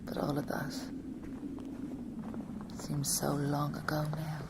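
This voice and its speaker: An actress playing a grieving mother in an audio drama monologue, wistful, quietly stoic and often hushed. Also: sits low, monotone, heavy background noise, full character voice. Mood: wistful, nostalgic. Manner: slow, reflective, often hushed to breathy near-whisper. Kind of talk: intimate audio-play monologue.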